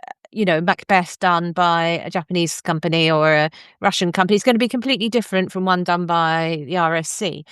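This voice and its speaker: A woman's voice: mid-range voice, a bit bright, clean tone, no room sound, clean studio sound. A woman hosting a podcast interview in a conversational style.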